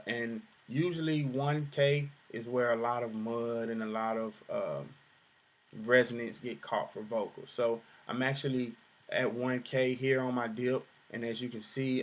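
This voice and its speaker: A young adult man with a deep voice giving a relaxed music production tutorial, full of fillers. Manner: relaxed explaining, many fillers. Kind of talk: screen-share tutorial explanation.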